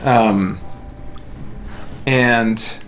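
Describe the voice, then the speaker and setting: Male streamer, American, casual and rambling, mid-pitched voice, audible ambient noise behind him. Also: steady tone, very slow, a bit bright. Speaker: video game streamer. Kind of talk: casual chat while playing a game.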